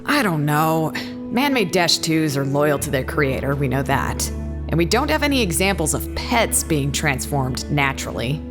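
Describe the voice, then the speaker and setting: A woman's voice: sits low, sing-song, moderate pace, music in the background. A woman acting as a blunt, sardonic makeshift bartender in a casual, naturalistic audio drama. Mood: matter-of-fact. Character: blunt, sardonic female colleague tending a makeshift bar.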